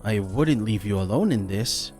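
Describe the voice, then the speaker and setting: A man's voice: lowish voice, lively intonation, normal speed, darkish tone, faint music behind, close to an everyday voice. A man voicing a small, caring ninja master of a fantasy race in an audiobook, speaking with urgent command. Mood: reassuring. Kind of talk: fantasy audiobook character acting.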